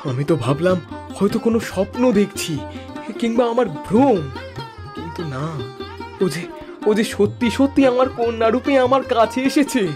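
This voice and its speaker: A man's voice: extremely high pitch, moderate pace, long pauses, music in the background. A man voicing a poor fisherman and doting father in a fairy-tale cartoon, earnest and full of emotion. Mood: awed, tender.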